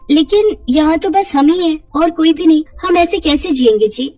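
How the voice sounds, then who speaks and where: A woman's voice: extremely high pitch, moderate pace, dark tone, soft background music, voice pushed up. A woman voicing an anxious but sensible young village wife, fretful and pleading, in a moral story.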